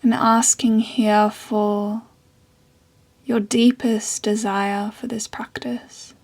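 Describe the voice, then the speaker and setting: Meditation guide, female, soft and breathy, very even pitch, unhurried with long pauses. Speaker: yoga and meditation teacher.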